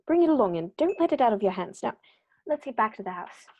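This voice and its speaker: Woman playing a cool clever detective guy, super precise, thinks out loud, old radio play vibe. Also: on the high side, very animated, dull audio. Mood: calm, deductive. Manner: measured, precise, thinks aloud. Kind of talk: 1930s radio play acting.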